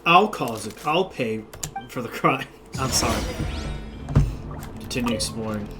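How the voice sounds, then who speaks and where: A man's voice: medium pitch, animated, measured pace. A young man giving casual gameplay commentary in a slangy, reactive manner. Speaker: gameplay streamer. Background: game music.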